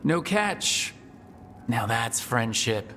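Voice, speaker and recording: A man's voice: medium pitch, very animated, very slow, bright, forceful tone, long reverb tail, slight background noise, exaggerated voice. An actor playing a curious, quippy male hero in a fantasy game, with a wry, questioning manner. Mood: resolved, wry. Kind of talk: game dialogue acting.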